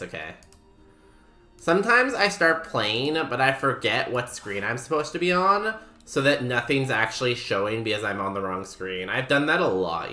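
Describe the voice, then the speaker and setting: A man's voice: mid-range voice, sharp tone. A young man from Canada chatting casually with his stream audience while he plays, rambling and poking fun at himself with lots of ums and likes. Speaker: streamer playing a video game. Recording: roomy echo with faint music behind.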